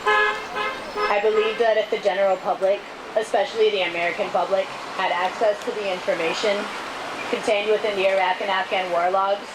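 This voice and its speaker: A woman giving a measured, earnest reading of prepared testimony aloud at an outdoor rally. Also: mid-range voice. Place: outdoors at a city street rally. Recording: noisy outdoor street recording.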